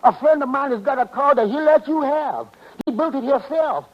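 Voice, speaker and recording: An actor playing a flustered, slow-witted barber who stammers and tangles his sentences, in 1940s radio comedy style. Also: very high voice. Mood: eager, muddled. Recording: muffled vintage 1940s radio recording.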